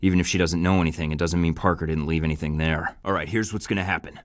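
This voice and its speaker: A man voicing a deep-voiced, cocky American spy. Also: fairly even intonation, fast talker, dry recording, studio quality, natural voice. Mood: interrogating. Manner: wisecracking, flirty and menacing by turns. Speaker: game protagonist. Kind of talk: video game voice acting.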